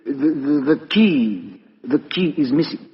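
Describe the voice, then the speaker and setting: Polite foreign detective, highish voice that swoops about, hesitant and musing, old muffled radio play. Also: male voice, animated, takes it slowly. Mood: careful, probing. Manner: hesitant, musing, repeats phrases, old-fashioned courtesy. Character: courteous, thoughtful foreign male private detective. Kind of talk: 1950s British radio drama acting. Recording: muffled, reverberant vintage radio recording.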